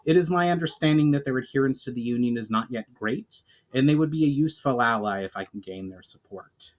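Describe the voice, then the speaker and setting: A man's voice: medium pitch, a little fast, lightly acted, rough recording. A man voicing an earnest male elf adventurer who speaks with formal courtesy in a fantasy storybook.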